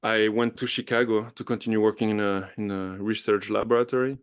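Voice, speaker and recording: A man's voice: lowish voice, flat delivery, fairly quick, clean tone. A man in his thirties with a French accent, chatting eagerly as a podcast guest, often laughing and restarting his sentences. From France. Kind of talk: casual interview chat.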